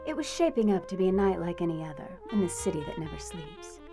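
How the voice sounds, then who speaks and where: An actress playing a wry, playful young stripper in a noir radio drama, with teasing banter. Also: female voice, fairly low pitch, big pitch swings, fast talker, music in the background. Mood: wry narration. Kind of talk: 1950s-style noir radio drama acting.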